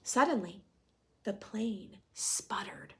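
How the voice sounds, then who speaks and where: A woman's voice: mid-range voice, expressive, takes it slowly, pushed and bright, in a whisper. A woman reading a storybook aloud to young children, expressively, with chatty, questioning asides to her listeners. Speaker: children's story reader and host.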